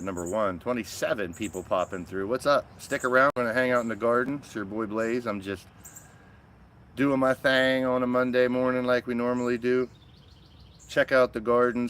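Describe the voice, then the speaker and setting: A man with a raspy morning voice hosting a casual live stream from his backyard garden, chatting and greeting viewers by name. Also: mid-range voice, a bit bright, a little hiss or hum. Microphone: small phone-mounted microphone.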